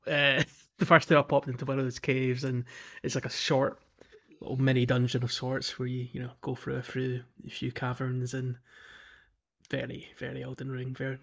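A man's voice: medium pitch, bright, forceful tone, dull audio. A man chatting casually as a gaming podcast co-host, hesitant and rambling, with frequent chuckles. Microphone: remote call.

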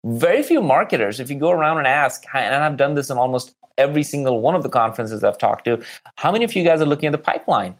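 A man's voice: medium pitch, expressive, quick pace. A man speaking conversationally as a podcast guest and marketing expert, explaining with examples and repeating words for stress.

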